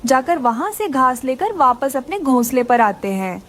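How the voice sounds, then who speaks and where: High-pitched woman story narrator. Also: sing-song, moderate pace, a bit bright. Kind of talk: scripted children's story narration.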